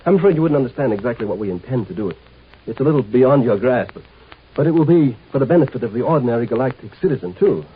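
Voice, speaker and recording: An actor playing a shrewd, condescending native agent posing as an imperial marshal, in a 1950s radio drama. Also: male voice, mid-range voice, expressive, fast talker, husky. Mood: coolly reassuring. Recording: muffled, tinny 1950s radio recording.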